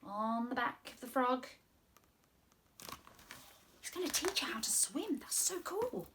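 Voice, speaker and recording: Animated young woman storyteller. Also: sits high, measured pace, slight background noise. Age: 20s. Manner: expressive, encouraging. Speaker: children's entertainer and storyteller. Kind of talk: animated children's story reading. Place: small room at home, indoors.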